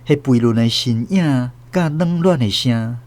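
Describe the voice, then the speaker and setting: A man's voice: medium pitch, measured pace, darkish tone, lightly acted. An actor playing an elderly grandfather with a Taiwanese accent, narrating a radio drama in a tender, wistful, literary way. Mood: wistful, stirred. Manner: literary, emotive storytelling.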